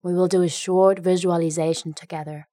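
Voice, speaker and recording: A woman narrating a guided meditation in a gentle, unhurried way, leaving long pauses between phrases. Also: lowish voice, fairly even intonation, dry recording, clean studio sound.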